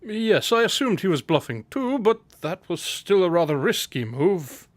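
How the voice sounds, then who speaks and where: A man's voice: high voice, big pitch swings, moderate pace, no echo, studio quality. A man playing a self-assured, boastful detective in a radio drama, with a formal, dryly proper manner.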